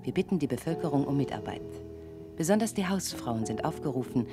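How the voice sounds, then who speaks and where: An actress playing an impassive news announcer, reading bulletins in a formal, measured way in a radio drama. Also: female voice, sits low, animated, music in the background. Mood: official, grave.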